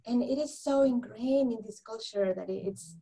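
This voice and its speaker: A woman from Honduras with a Honduran Spanish accent, telling her story in a lively conversational interview, laughing often and repeating words when worked up. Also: a little high, normal speed. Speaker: guest counselor.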